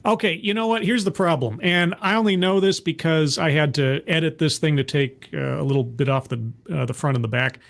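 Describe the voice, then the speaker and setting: A man's voice: on the high side, fairly quick, vocal fry, few pauses. A man hosting a reaction commentary, talking in a sarcastic, interrupting, exasperated way. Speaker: commentary host reacting to a talk.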